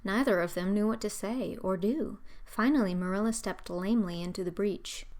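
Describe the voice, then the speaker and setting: A woman's voice: mid-range voice, normal speed, a little mellow. A woman reading scripted narration as the narrator of a storybook audiobook.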